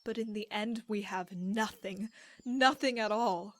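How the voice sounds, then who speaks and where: A woman's voice: mid-range voice, unhurried, a bit bright, no room sound, natural voice. A woman playing a weary, hurt wife in a game drama, pleading and reproachful. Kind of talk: dramatic game cutscene acting.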